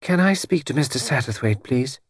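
A man's voice: medium pitch, animated, brisk, husky, no room sound, clean studio sound, voice pushed up. A man voicing a reserved aristocratic lady on the telephone in an audiobook, small-voiced, cold and formally polite. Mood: cool, formal.

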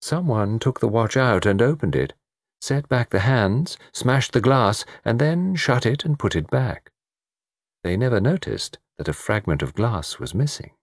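A man voicing a calm, enigmatic gentleman in an audiobook, speaking in a dreamy, measured, knowing way. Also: medium pitch, no room sound, clean studio sound, pitched above the performer's own voice. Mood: assured. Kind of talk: audiobook character dialogue.

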